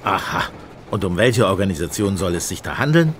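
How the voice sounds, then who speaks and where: Deep-voiced, grumbling police inspector. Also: male voice, very animated, brisk. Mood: exasperated. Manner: grumbling, blunt, sardonic. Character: grumbling, sceptical male Scotland Yard police inspector. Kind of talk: German radio play dialogue. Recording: train rumble behind the voice.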